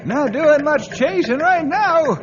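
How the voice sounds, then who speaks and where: A man playing a folksy, teasing old-timer sidekick with a drawn-out delivery in a 1950s radio adventure. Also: very high-pitched, expressive, full character voice. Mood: amused, teasing. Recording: dull 1950s radio recording.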